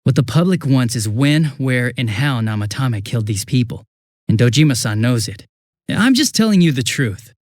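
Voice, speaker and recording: A man voicing a young police detective in a video game, affable and easily flustered, with a casual, hesitant and excitable way of talking. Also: medium pitch, normal speed, no echo, studio quality, lightly acted. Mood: frank, serious. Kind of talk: English video game voice acting.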